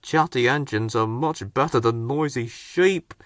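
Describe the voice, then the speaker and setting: A man voicing a big, irritable male tender engine who craves quiet, in a children's storybook. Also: mid-range voice, measured pace, dry recording, clean studio sound, close to an everyday voice. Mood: muttering. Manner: muttering, irritable.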